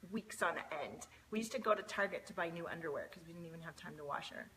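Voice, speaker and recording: Bright-voiced woman live-streaming. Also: on the low side, animated, fast, slight background noise, poor audio. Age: 40s. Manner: chatty, greets viewers by name, many fillers. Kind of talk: casual live-stream chat.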